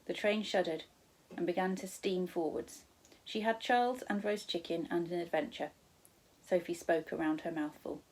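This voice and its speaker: A woman reading a children's storybook aloud as a teacher, in expressive narration with the odd corrected stumble. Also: fairly low pitch, moderate pace, low-quality recording.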